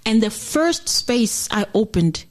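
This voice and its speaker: A woman's voice: on the high side, sing-song, slow pace. A middle-aged woman from South Africa, a jazz singer chatting as an interview guest, reflective and full of fillers and restarts. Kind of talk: casual interview chat.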